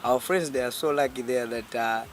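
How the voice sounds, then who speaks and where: A man's voice: medium pitch, normal speed, slight background noise. A young man in his twenties with a Malawian English accent, a sponsored student speaking earnestly and repeating himself in an interview. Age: young adult. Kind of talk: casual interview answer.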